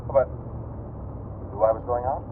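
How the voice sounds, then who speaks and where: An actor playing a loyal, worried friend in 1940s radio drama style, heard through a vintage radio filter. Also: male voice, medium pitch, fairly even intonation, takes it slowly. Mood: hesitant, uneasy. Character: loyal, worried male friend drawn into a secret. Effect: vintage car radio filter. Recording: tinny noisy vintage radio.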